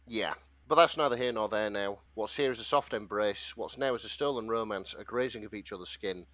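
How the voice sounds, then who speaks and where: A man's voice: fairly low pitch, a little fast, fairly bright tone, no echo. A man talking casually and wryly as a podcast co-host, summarising and reading out a story in an informal way. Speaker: podcast co-host presenting a fan fiction story.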